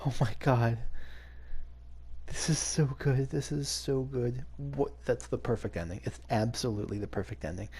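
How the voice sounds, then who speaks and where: A man's voice: medium pitch, normal speed, dark tone, slightly rough. A man hosting a let's play, giving casual, amused and enthusiastic game commentary.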